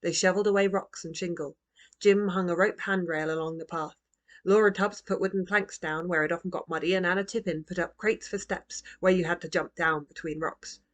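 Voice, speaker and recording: A woman narrating a children's storybook as its author, in a gentle, expressive manner. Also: on the low side, fairly quick. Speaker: author reading own book.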